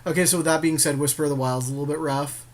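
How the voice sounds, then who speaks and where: A man's voice: a little high, fairly even intonation, racing. A man co-hosting a podcast in casual, joking chat about a card game. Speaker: podcast co-host.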